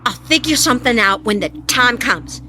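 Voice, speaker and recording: A woman's voice: fairly high pitch, animated, normal speed, over music, natural voice. An actress playing a stubborn, bold old woman in a radio drama, impatient and folksy in her way of talking. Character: headstrong, bold, curious old woman with a twisted ankle.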